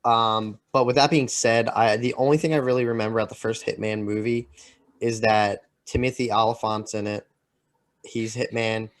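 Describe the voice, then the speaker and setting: A man chatting casually as a podcast panelist, halting and circling back on his sentences. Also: lowish voice, steady tone. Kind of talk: casual opinion chat.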